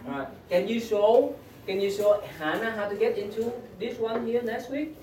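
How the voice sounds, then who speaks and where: A man's voice: high-pitched, moderate pace, a little mellow, slight background noise. A middle-aged man teaching a youth class, speaking in simple broken English and prodding the students to speak up. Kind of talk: classroom roll call. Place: small indoor classroom. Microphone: far camera at the back of the room. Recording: echoey room from far off. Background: teenagers chattering.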